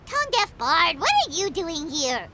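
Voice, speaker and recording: Super squeaky tiny fairy girl, blunt and nosy, pitch all over the place. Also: very animated, a little slow, pushed and bright, full character voice. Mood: suspicious. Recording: noisy game audio.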